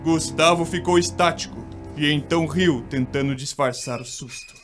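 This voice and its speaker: High-voiced Brazilian man narrating over music. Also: moderate pace. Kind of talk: dramatic scripted ghost story narration.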